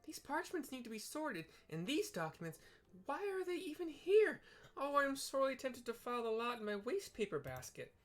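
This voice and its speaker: A man's voice: very high-pitched, very animated, fairly bright tone, pitched above the performer's own voice, full character voice. A man voicing a flustered, overwhelmed young female receptionist from a fantasy game, fussing and exclaiming. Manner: exclaiming, fussing, hurried pleading.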